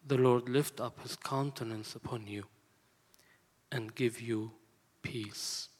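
Solemn man slowly praying a benediction. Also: medium pitch, steady tone, background noise. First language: Arabic. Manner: slow, solemn, measured. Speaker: missionary giving a benediction. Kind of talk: slow prayerful benediction. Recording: long reverb of a large hall.

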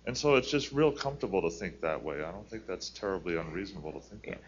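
A man student offering his view in class, halting and searching for words. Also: low voice, expressive, lo-fi sound, slight background noise. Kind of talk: classroom discussion.

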